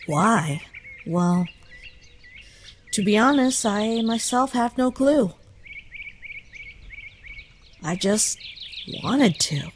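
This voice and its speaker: A woman voicing a kind, concerned human child in a comic dub, gentle, earnest and thoughtful. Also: a little high, animated, slow, long pauses, slight background noise. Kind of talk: scripted comic dub acting.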